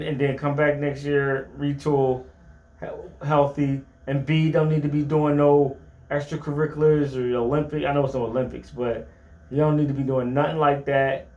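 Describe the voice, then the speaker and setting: A man's voice: fairly high pitch, reverberant. A man co-hosting a casual sports chat show, giving opinionated takes with lots of stammered restarts.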